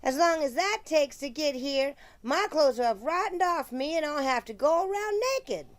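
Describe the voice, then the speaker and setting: A woman's voice: high voice, animated, moderate pace. A woman playing a fussy, indignant townswoman of the Old West, folksy and dramatic, in a radio western.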